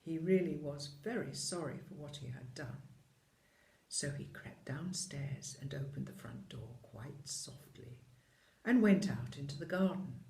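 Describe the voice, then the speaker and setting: A storyteller reading a children's tale with deliberate, dramatic phrasing. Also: medium pitch, animated, creaky, dark tone. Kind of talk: expressive scripted storybook narration. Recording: echoey room.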